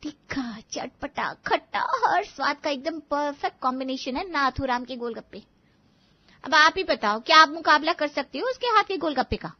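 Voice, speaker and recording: Casting: woman playing spoiled college girl, very high voice, cheeky and gushing, cartoon family drama, dull recording. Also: lively intonation, moderate pace. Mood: gushing boastful. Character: cheeky, spoiled college-age sister-in-law who loves snacks. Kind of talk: animated family story acting.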